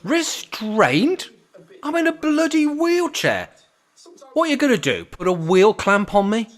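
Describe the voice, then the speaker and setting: An actor playing a cantankerous, sarcastic old care-home resident in an amateur radio play, blunt and full of complaint. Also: male voice, high-pitched, big pitch swings, a little slow. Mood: indignant. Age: older.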